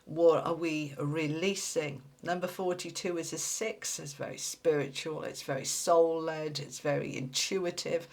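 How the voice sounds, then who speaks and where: A woman's voice: sits low, steady tone, measured pace, vocal fry, few pauses, darkish tone. A woman giving a casual tarot reading to her viewers, sounding congested and nasal, talking in a direct, blunt and encouraging way. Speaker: tarot reader.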